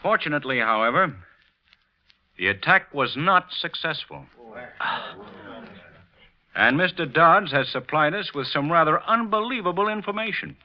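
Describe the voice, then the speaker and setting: An actor playing a sharp young police detective, formal, deliberate and authoritative, in 1940s radio drama style. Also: male voice, a little high, expressive. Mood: measured, probing. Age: young adult. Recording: muffled old radio recording.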